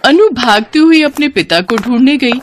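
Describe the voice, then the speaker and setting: A woman's voice: sits high, expressive, normal speed, background noise. A woman narrating a cartoon story in a scripted, emotive storybook manner.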